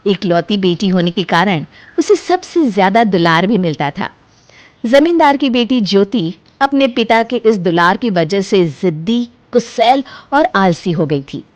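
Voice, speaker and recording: A woman narrating an animated moral story in a lively, expressive way. Her voice is mid-pitched and swings widely in tone. The recording is dry and close. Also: a little slow, no room sound.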